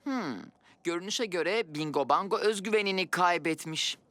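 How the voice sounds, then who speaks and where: A woman's voice: on the low side, normal speed, fairly bright tone, no echo, close to an everyday voice. Woman as a kindly young wish keeper. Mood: thoughtful. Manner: explanatory, friendly. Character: young, kindly, knowledgeable keeper of magic wishes. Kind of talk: children's cartoon dub.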